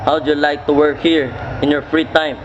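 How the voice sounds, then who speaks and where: A man playing formal, middle-class patriarchs and academics in an amateur radio play, with measured wording. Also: on the high side, flat delivery, lightly acted. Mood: kindly, brisk. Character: stern, formal father and kindly chemistry professor. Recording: muffled, clearly noisy amateur recording.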